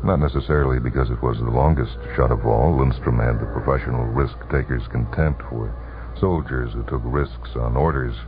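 A man reading a short story for radio in a measured, deliberate, suspenseful way. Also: extremely low pitch. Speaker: narrator. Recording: tinny, band-limited vintage radio broadcast. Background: music under the voice.

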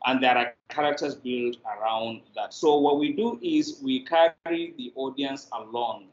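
A man's voice: mid-range voice, a little slow. Nigerian man in an echoey room. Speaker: guest, drama producer. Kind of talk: explanatory interview answers. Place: indoor room, video call. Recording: roomy, muffled, noisy video call.

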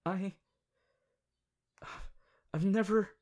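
A woman voicing an affectionate, excitable young male anime hero turned bunny in an ASMR roleplay, with a soft, hesitant delivery. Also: medium pitch, extremely slow. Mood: puzzled. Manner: hesitant, giggly, swinging from murmurs to excited exclamations. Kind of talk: ASMR audio roleplay, acted monologue.

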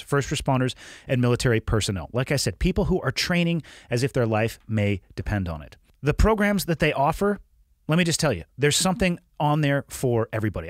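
A man with a head cold reads podcast ads, his voice a little nasal. His pitch swings up and down a lot as he pushes each pitch with emphatic energy. The sound is dry and close, with no room around it. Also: no room sound. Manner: upbeat, emphatic sales pitch. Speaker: podcast host reading ads. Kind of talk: ad read.

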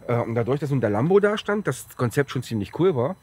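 A man in his forties with a German accent from the Halle region, a bit hoarse after a cold, chatting casually and rambling as he presents a car outdoors on a sunny lot. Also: mid-range voice, big pitch swings, fast talker, a little mellow, no room sound. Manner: chatty, rambling, with fillers and restarts. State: slightly hoarse from a recent cold. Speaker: tuning shop presenter. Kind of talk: casual on-camera car walkaround.

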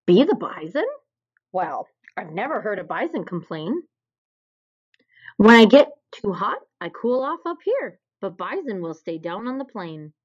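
A woman's voice: medium pitch, sing-song, a little slow, breathy edge. A woman voicing an easygoing male bear in a children's storybook. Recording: dull, lo-fi recording.

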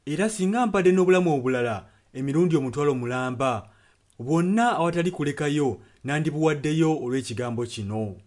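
A man's voice: on the high side, animated, fast, a little mellow. A Ugandan man interpreting a sermon earnestly, phrase by phrase. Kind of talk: consecutive sermon translation. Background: music.

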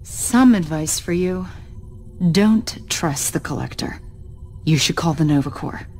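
A woman's voice: deep voice, lively intonation, measured pace, darkish tone, faint music behind. An actress voicing a stern green-skinned female warrior and former assassin, terse and guarded. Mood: curt. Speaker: game character.

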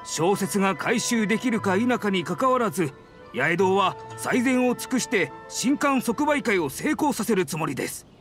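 An actor playing a courteous, earnest, apologetic editor in a Japanese anime game, very polite and deferential. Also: male voice, sits high, fairly bright tone. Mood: earnest, grateful. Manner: very polite, deferential business speech.

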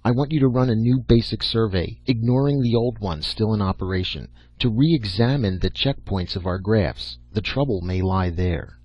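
A man's voice: fairly low pitch, normal speed, hardly any pauses, husky, no echo. A man voicing a crusty old professor in an audiobook, lecturing bluntly and with emphasis. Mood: grave. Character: old, crusty, commanding male professor and mentor. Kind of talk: audiobook character acting. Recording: dull lo-fi audio.

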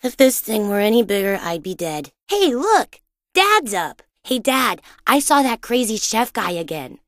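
A woman voicing a boisterous, imaginative and boastful young boy in a cartoon-style video game, with an excitable, dramatic, childlike delivery. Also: on the high side, a little slow, no room sound, studio quality. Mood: eager, chatty. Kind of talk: animated video game voice acting.

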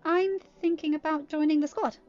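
A woman's voice: sits high, fairly even intonation, normal speed, a little mellow, muffled recording, faint music behind, voice pushed up, close to an everyday voice. A woman voicing a sweet, chatty teenage girl in an amateur storybook reading. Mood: eager.

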